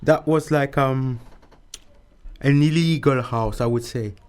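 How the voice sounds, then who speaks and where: A man's voice: medium pitch, takes it slowly, a little mellow, clean tone. A man from Mauritius being interviewed, talking casually and repeating words often.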